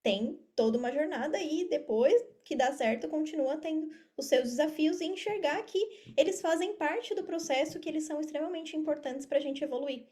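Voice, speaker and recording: A woman's voice: high-pitched, moderate pace, hardly any pauses, dark tone. A Brazilian woman giving casual, motivational interview answers as a podcast guest, emphatic and encouraging. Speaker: podcast guest, affiliate marketer. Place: remote video call.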